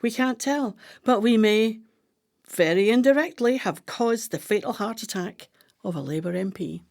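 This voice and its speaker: An actress playing a brisk-minded, commanding woman plotter in a radio play, with a deliberate, declamatory delivery. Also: medium pitch, a little mellow, natural voice. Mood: measured, reflective.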